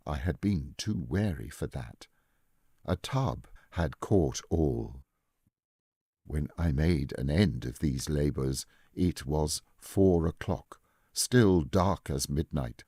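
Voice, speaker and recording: A man playing a mad, cunning murderer who tells his own gothic tale in a theatrical, deliberate storytelling manner. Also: very deep voice, dark tone, husky, dry recording, studio quality, pitched below the performer's own voice, exaggerated voice. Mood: smug, sly. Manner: theatrical, deliberate, emphatic phrasing. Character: mad murderer narrator, smug, cunning, unravelling into frenzy. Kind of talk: dramatic gothic audiobook storytelling.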